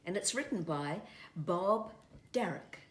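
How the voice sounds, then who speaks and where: Slow, expressive New Zealand woman storyteller. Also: on the low side. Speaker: children's author and storyteller. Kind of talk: expressive children's story reading. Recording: echoey room.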